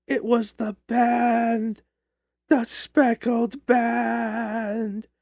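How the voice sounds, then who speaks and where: A man voicing a dying young woman in terror, crying out drawn-out exclamations in a melodramatic audiobook. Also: very high voice, level tone, gravelly, darkish tone, no echo, voice pushed up, exaggerated voice. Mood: terrified, agonised.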